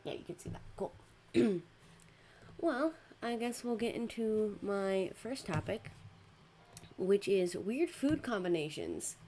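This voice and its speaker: A young woman hosting a casual streamed podcast. She giggles often between words, and her voice is worn from recent shouting. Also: mid-range voice, expressive, slow, a little hiss or hum. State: losing her voice. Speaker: podcast host and VTuber.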